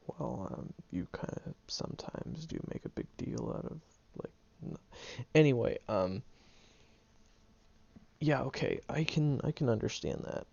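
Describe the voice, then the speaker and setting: A man's voice: mid-range voice, lively intonation, unhurried, gravelly, a little mellow, breathy edge, full character voice. A man voicing a prickly young male student who secretly cares, talking hesitantly with stammers and ums in an audio roleplay. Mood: grudgingly listening. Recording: dull lo-fi recording.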